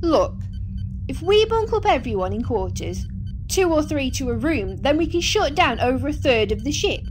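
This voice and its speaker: A woman playing a determined, practical starship engineer in an audio drama, brisk and problem-solving. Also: sits high, sing-song, noisy background.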